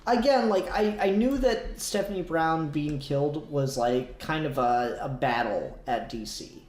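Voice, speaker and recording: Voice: medium pitch, unhurried, darkish tone. A podcast co-host in casual comic book discussion, talking emphatically, repeating words and swearing. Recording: roomy, echoey sound.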